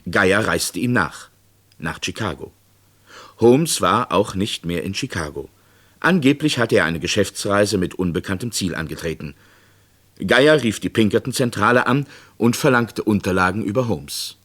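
Casting: male narrator, slightly low register, measured and factual, for a scripted true-crime radio drama. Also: expressive, fairly bright tone. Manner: sober, measured, documentary-like.

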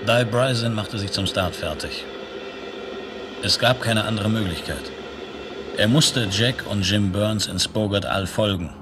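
A man narrating a science fiction radio play as a detached storyteller, in measured, clipped phrases. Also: on the low side, noisy background, lightly acted. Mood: detached, intense. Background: music.

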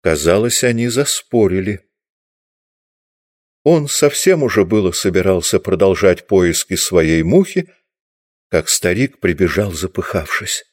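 A man's voice: deep voice, expressive, measured pace, dry recording, studio quality. A man reading scripted literary narration as the narrator of an audio drama.

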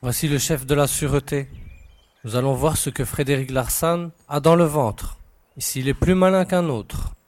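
A man playing a confident, brisk young investigator in an audiobook, speaking in an assured, lightly ironic way. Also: on the high side, a little mellow, lightly acted. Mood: keen, confident. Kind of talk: dramatised audiobook acting.

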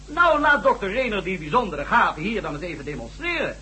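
A man's voice: high-pitched, sing-song, a little fast, bright, forceful tone, slight background noise, exaggerated voice. An actor playing a pompous middle-aged male delegate, speaking haughtily in an old radio play. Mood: haughty. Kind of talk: 1970s radio drama acting.

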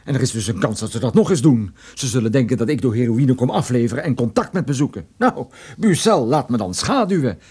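A wary private detective in a radio drama. His voice is a touch rough and very lively in intonation, moving through wry, emphatic phrases with stubborn sarcasm. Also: mid-range voice, brisk. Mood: sardonic. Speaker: actor in a radio play. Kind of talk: Dutch radio drama acting.

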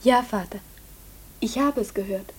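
An actress playing a dreamy young farmer's daughter in a German radio play, devoted, hesitant and quietly earnest. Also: female voice, on the high side, a little slow, slightly rough.

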